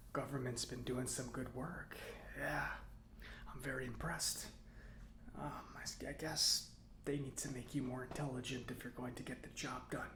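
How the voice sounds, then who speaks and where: A man's voice: medium pitch, roomy sound, close to an everyday voice. Whispery, chatty mechanic played by a man. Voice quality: soft, breathy, often near whisper. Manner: rambling, hesitant, casual. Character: chatty, nerdy government robot mechanic named Jeff, friendly. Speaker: repair shop mechanic character. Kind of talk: soft-spoken ASMR roleplay.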